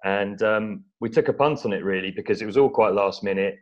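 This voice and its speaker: An English man from East Anglia giving measured, modest, somewhat hesitant conversational answers as an interview guest. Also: fairly low pitch. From East Anglia, England. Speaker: interview guest, documentary film-maker. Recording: dull, lo-fi audio.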